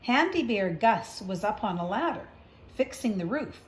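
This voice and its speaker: A woman's voice: mid-range voice, big pitch swings, normal speed, slight background noise. A woman reading a picture book aloud, with chatty asides and questions as if to a young child. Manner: conversational, explaining to a young child, gentle questions. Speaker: storybook reader.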